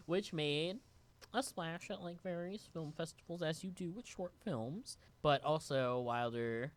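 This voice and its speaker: A man's voice: fairly high pitch, unhurried. A man raised in New York co-hosting a podcast and chatting loosely through a guest's background, with lots of filler words. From United States (raised in New York). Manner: relaxed, rambling, many "like" fillers. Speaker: podcast co-host and actor. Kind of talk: casual chat, reading guest bio.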